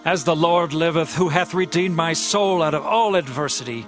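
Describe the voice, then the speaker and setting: A man's voice: fairly high pitch, normal speed, music in the background, lightly acted. An actor playing a stern, righteous king of ancient Israel in a dramatized scripture audiobook, solemn and emphatic. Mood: righteous outrage.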